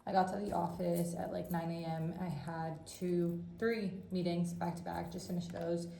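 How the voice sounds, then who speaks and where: A woman's voice: on the low side, level tone, normal speed, creaky, warm tone, roomy sound, slight background noise, rough recording. A woman in her twenties vlogging casually about her day, rambling with lots of fillers and a bit congested from a cold. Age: 20s. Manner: chatty, rambling with frequent "like" fillers. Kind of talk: casual vlog chat. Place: home and office.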